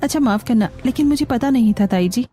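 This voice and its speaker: A woman's voice: a little high, lively intonation, quick pace, mellow, soft background music, lightly acted. A woman voicing an obliging, put-upon young daughter-in-law in a cartoon, speaking politely. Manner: polite, accommodating. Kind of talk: Hindi cartoon dialogue.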